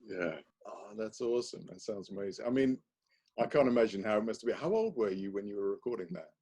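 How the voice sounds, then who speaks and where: A man's voice: lowish voice, animated, quick pace, darkish tone, slightly rough. A man interviewing a guest casually, with an enthusiastic, encouraging manner. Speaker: interviewer, show host.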